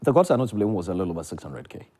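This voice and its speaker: A man's voice: deep voice, big pitch swings, very fast, dark tone. A man with a Ghanaian accent talking as a theatre director in a promotional interview, emphatic and repeating phrases for effect. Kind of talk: lively promotional interview chat.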